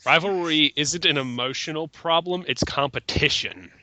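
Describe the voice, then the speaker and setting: Sharp, argumentative man in casual commentary. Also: fairly high pitch, normal speed, dry recording, poor audio.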